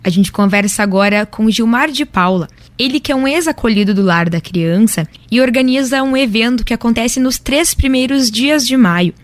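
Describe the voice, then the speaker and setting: A woman hosting a community radio program, reading introductions and asking interview questions in a clear, friendly manner. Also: a little high, lively intonation, moderate pace, hardly any pauses, dry recording. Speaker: radio host. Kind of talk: scripted radio presenting.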